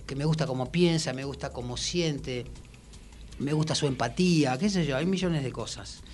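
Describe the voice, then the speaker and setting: A man with a Rioplatense Argentine accent talking casually and reflectively in a radio interview, with halting, colloquial phrasing. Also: medium pitch, darkish tone, a little hiss or hum. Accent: Rioplatense Argentine Spanish. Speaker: musician and songwriter guest.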